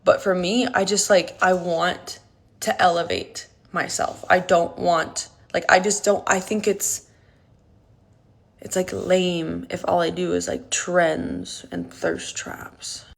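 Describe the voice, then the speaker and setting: A woman's voice: fairly low pitch, steady tone, takes it slowly, vocal fry. A woman in her late twenties talking candidly to the camera about her life, with frequent fillers. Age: 20s. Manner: candid and emphatic, many "like" fillers. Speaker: fitness content creator. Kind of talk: casual talking-to-camera vlog.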